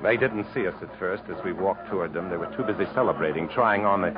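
Actor doing a bitter sardonic jungle drifter guy, low voice, weary n dramatic, muffled old radio recording. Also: quick pace, loud music behind the voice, close to an everyday voice. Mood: grim narration. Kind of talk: 1950s American radio drama acting and narration.

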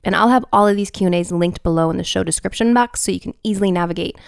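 Young woman host, casual and chatty, a touch raspy from a cold, recorded dry and close. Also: mid-range voice, fast, no echo. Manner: chatty, uses "like" a lot, self-deprecating. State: has a cold, slightly raspy. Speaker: podcast host. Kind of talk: casual podcast hosting.

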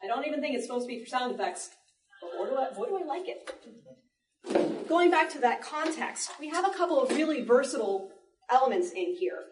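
A woman giving a live demonstration talk to an audience, explaining in an animated way with playful asides. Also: sits high, fairly quick, a little hiss or hum. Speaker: panel presenter, sound effects artist. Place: indoor library panel before an audience. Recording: echoey room, distant microphone.

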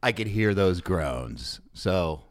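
A man with a fairly low voice hosts a casual podcast chat. His pitch swings around a lot, and he talks in a jokey, self-deprecating manner. The sound is close and dry. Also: expressive, slow pace, no echo. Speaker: podcast host and sports broadcaster.

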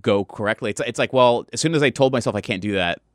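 A man with a smooth, clear voice chatting casually as a game master. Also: medium pitch, quick pace, dry recording, clean studio sound. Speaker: game master of a podcast RPG. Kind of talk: casual chat.

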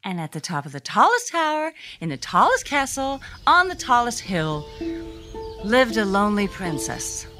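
A woman's voice: medium pitch, huge pitch swings, normal speed, bright, forceful tone, faint music behind. A woman playing a patient but easily flustered mom telling stories in a comedic audio drama. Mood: storytelling. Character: patient, slightly exasperated mom telling a bedtime story.

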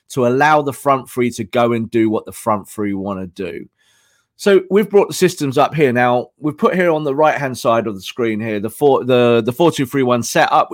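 Hoarse man breaking down tactics. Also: medium pitch, no room sound, studio quality. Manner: analytical, emphatic, repeats points. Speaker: co-host and tactics analyst. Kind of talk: football tactics podcast discussion.

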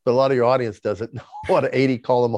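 A man in his sixties with a noticeable lisp tells his story at length in an interview, stumbling over his first words before finding his thread. The recording is dry and slightly muffled, with little high end. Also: fairly even intonation, racing, darkish tone, no echo. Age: 60s. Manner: repeats words when starting sentences. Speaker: podcast guest.

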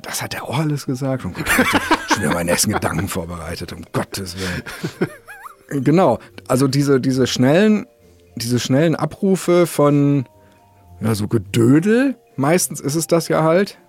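A man with a somewhat husky voice chats casually as a podcast host. He rambles in a self-deprecating way and jumps between thoughts, with faint music underneath. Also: medium pitch, sing-song, moderate pace.

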